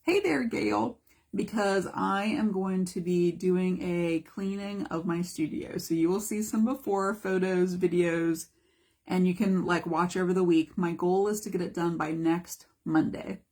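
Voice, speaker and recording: A hoarse woman, a mixed media art teacher, chatting casually through a live craft demonstration, greeting viewers by name. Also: lowish voice, measured pace, a little mellow. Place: home art studio.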